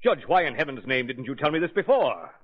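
An actor playing a stubborn police commissioner with a theatrical delivery in a 1930s radio drama. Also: male voice, mid-range voice, sing-song, fast. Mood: perplexed. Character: blustering male police commissioner, perplexed, stubborn. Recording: muffled old radio recording.

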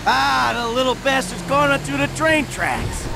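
A man's voice: very high voice, moderate pace, creaky. An actor playing a jaded middle-aged criminal and father in a video game, sardonic and exasperated. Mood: sarcastic, exasperated. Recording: loud traffic and engine noise behind.

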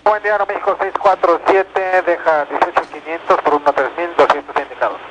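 A man's voice: a little high, steady tone, brisk, highs cut off. A man, an airline pilot making clipped readbacks over aviation radio. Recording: very noisy crackly aviation radio.

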